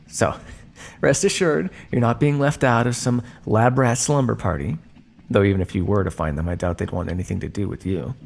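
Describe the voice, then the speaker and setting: A man playing a condescending, coolly menacing vampire scientist in an ASMR roleplay, speaking to his captive in a measured, teasing way. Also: on the low side, gravelly. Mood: dismissive, smug. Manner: smug, measured, teasing, theatrical. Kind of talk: ASMR roleplay monologue addressed to listener.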